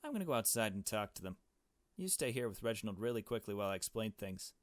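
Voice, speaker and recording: A man's voice: on the low side, very animated, fast, no echo. A man voicing a brash, foul-mouthed, take-charge young woman in a visual novel, talking bluntly and in slang. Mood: in control.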